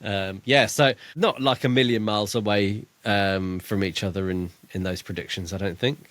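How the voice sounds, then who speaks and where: A man's voice: lowish voice, fairly even intonation, moderate pace, fairly bright tone, no room sound. A man co-hosting a podcast, casually discussing a book and thinking aloud in a tentative, hedging way. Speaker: podcast co-host.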